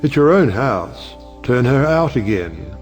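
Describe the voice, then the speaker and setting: An actor playing a wry, provocative middle-aged judge and uncle in an audio play, speaking in a rhetorical, oratorical way. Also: male voice, medium pitch, very animated, slow pace. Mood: wry, teasing. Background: music.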